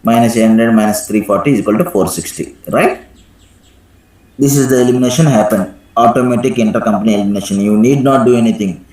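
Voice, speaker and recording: Male software trainer in an echoey room. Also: lowish voice, fast. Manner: instructive, frequently checks with "right?". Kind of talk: live screen-share software tutorial with questions to students.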